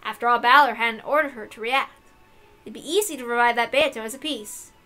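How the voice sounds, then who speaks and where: A woman with a fairly high voice narrates a visual novel. Her reading is scripted and dramatic, with soft music playing behind it. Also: brisk.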